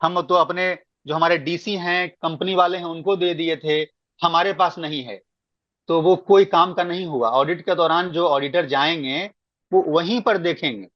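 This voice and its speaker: A man's voice: on the high side, normal speed, dry recording. A man explaining procedures in a work meeting, stressing points by repeating words. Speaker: meeting speaker giving guidance. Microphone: online conference call. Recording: dull, lo-fi conference call.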